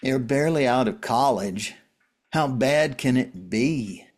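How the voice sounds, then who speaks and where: A man playing a world-weary, wry survivor in a drama reading, talking in a halting, conversational way. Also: medium pitch, animated. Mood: firm, wry.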